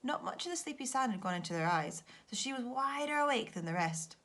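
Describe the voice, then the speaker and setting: Bright, very animated woman storyteller. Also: a little high, fairly quick. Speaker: teacher reading aloud. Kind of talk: expressive children's story narration.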